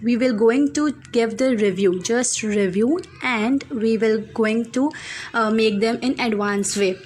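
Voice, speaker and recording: An earnest woman with a Pakistani accent introduces her online English course, working through lists and pausing on frequent fillers. Her voice sits a little high. A steady hum of background noise runs under the recording. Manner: earnest, many fillers, list-like delivery.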